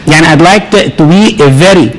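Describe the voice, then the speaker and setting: A man speaking on a medical conference panel, halting and full of fillers, repeating words to stress a point. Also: fairly high pitch, rough recording. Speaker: urologist panelist.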